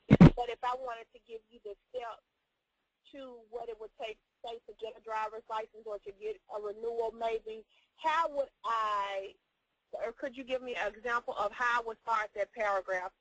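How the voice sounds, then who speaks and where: Woman student asking for help, kinda high voice, unsure, apologetic, rambles a bit, no room echo. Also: expressive, normal speed, creaky. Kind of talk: informal question on a class call.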